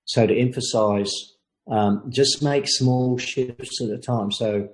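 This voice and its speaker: An older man coaching a group in a webinar, talking in a measured, thoughtful way with frequent pauses. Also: medium pitch, fairly even intonation, rough recording. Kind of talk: informal lecture and Q&A.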